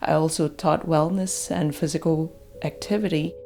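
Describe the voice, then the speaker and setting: Low-voiced woman from Malaysia, music behind. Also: fairly even intonation, normal speed, darkish tone. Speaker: job seeker interviewee. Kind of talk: documentary interview, personal account.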